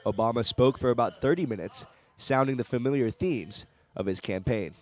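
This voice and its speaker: A man's voice: mid-range voice, moderate pace, a faint crowd. Young American man reading the news. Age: young adult. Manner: formal newscaster delivery. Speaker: student TV news reporter and anchor. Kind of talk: scripted news narration.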